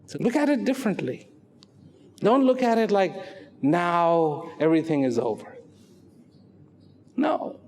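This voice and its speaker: A man giving a reflective public talk, slow and emphatic, swinging from loud declarations to hushed, almost whispered lines. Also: high voice, lively intonation. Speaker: speaker. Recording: long hall reverb with faint music.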